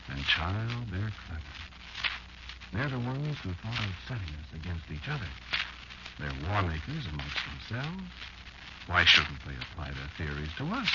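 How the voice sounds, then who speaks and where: Male actor as elderly insect storyteller, low-pitched, widely swooping delivery, bitter and portentous, slow deliberate pauses, old radio drama. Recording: muffled, noisy old radio show recording.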